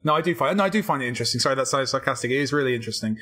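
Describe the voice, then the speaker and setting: A man's voice: medium pitch, animated, very fast, a bit bright. A man co-hosting a podcast in casual chat, wry, with stumbling restarts. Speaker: podcast co-host.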